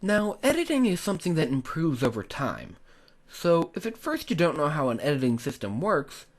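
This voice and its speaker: A man's voice: a little high, sing-song, moderate pace. A man narrating a scripted tutorial, speaking in an earnest, instructive way straight to the viewers. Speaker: tutorial host, hobby video creator.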